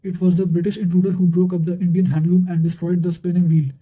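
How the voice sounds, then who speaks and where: A man's voice: sits high, little pitch movement, fast talker, highs cut off. A man reading historical quotations aloud from a script in a formal, bookish manner, occasionally stumbling.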